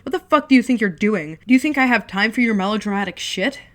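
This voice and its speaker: A woman voicing a sarcastic, chain-smoking older brother, a young man with a deep mocking voice. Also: fairly even intonation, normal speed, close to an everyday voice. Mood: scornful. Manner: mocking, contemptuous.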